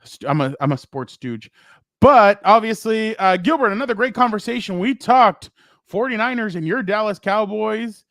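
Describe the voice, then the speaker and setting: A man co-hosting a casual sports talk show, loud and rambling, often laughing and trailing off. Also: high voice, expressive, moderate pace, no echo, clean studio sound. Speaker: co-host.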